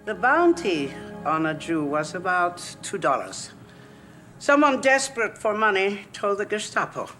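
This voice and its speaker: An actress playing an elderly, humble woman who sheltered refugees in wartime, speaking with an Austrian German accent in a soft, earnest, measured way. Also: lowish voice, exaggerated voice. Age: older. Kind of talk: film drama.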